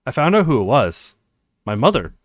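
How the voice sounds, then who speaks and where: An earnest young college man read by a male let's player, reassuring and confident. His pitch moves up and down a great deal, in a dry, close recording of visual novel dialogue. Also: very animated, moderate pace, no room sound.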